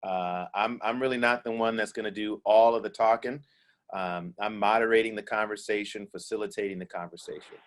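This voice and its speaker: A male pastor with a lowish voice leads a panel talk over a video call. He speaks earnestly but haltingly, leaning on fillers as he gathers his thoughts. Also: fairly even intonation. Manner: hesitant, many fillers, earnest. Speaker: pastor and moderator.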